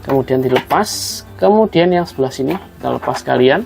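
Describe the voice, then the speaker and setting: A man with a gravelly, creaky voice hosts a casual tutorial over background music. His pitch sits in the middle range. He talks conversationally through each hands-on step, often addressing viewers as friends. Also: animated, a little fast. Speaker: host of a tutorial video.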